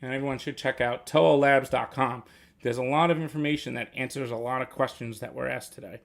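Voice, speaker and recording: A man's voice: mid-range voice, fairly even intonation, a little fast, creaky. A man hosting a casual livestream, reading viewer questions and explaining at length. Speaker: livestream host.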